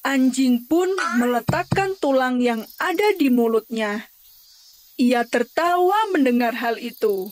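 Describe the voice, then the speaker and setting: A woman's voice: sits high, expressive, unhurried. A woman narrating a scripted children's story as the storyteller, with music behind her.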